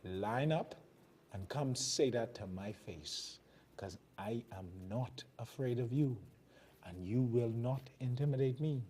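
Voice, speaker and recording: A man's voice: medium pitch, slow pace, mellow, slight background noise. A man from the Bahamas with a Bahamian accent, hosting a TV commentary show and delivering fiery, emphatic political commentary in a preaching cadence. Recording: television broadcast.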